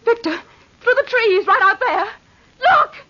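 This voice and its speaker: High-voiced frightened young woman. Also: animated, pushed and bright, full character voice. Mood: frightened, urgent. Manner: agitated, halting, repeating words. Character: frightened, breathlessly anxious young sweetheart woman. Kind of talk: old-time radio melodrama acting. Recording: dull old radio broadcast recording.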